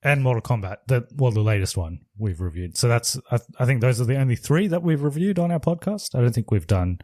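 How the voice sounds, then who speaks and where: A man's voice: mid-range voice, expressive, brisk, dark tone, no room sound, studio quality. A man co-hosting a podcast in casual chat, explaining things step by step with hesitant restarts. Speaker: podcast co-host.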